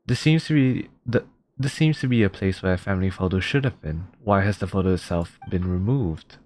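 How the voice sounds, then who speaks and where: A man's voice: sits low, lively intonation, fairly quick, a little mellow, a little hiss or hum. A man giving casual let's play commentary as a streamer, often reading game prompts aloud. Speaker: streamer playing a video game. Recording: game music behind the voice. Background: video game music.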